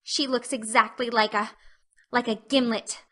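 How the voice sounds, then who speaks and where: A woman's voice: a little high, fairly even intonation, a little slow, a bit bright. A woman voicing an earnest, imaginative orphan girl with a pleading, breathless manner in an audiobook drama. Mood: passionate.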